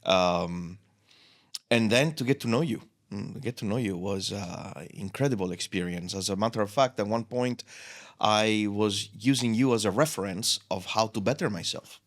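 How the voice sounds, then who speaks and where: Low-voiced male host, warm and complimentary, stumbles often. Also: moderate pace. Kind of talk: casual interview chat.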